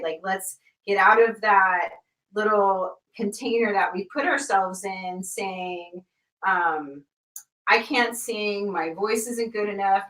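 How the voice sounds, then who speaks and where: A woman leads a live online class at an unhurried pace, encouraging and filling pauses with ums and uhs. Her voice sits back from the mic in a roomy space, and it turns soft and slow when she guides. She is recorded in a home studio. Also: mid-range voice, recorded from a distance. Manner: soft, slow guiding during the exercise. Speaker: sound healing teacher.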